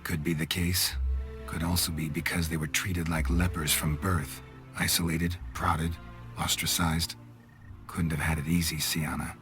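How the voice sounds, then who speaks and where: A man playing a stoic, gruff monster-hunting detective in a fantasy game, with a gravelly voice and terse, clipped phrasing. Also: flat delivery, measured pace, a bit bright, soft background music. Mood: dry, probing. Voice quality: low gravelly, husky voice. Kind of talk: cinematic fantasy game dialogue.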